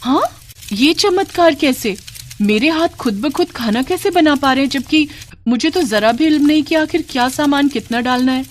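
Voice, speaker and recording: A woman's voice: on the high side, normal speed, slight background noise, pitched above the performer's own voice, close to an everyday voice. Woman acting a timid, fretful young bride. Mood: astonished, fretful. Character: timid, anxious young bride, humble and grateful.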